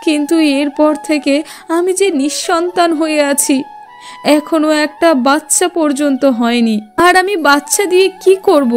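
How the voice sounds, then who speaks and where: A woman's voice: high-pitched, normal speed, soft background music, natural voice. A woman voicing a bitter, grieving queen in a plaintive, reproachful way for a cartoon fairy tale. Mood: sorrowful. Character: grieving, bitter queen who lost her daughter.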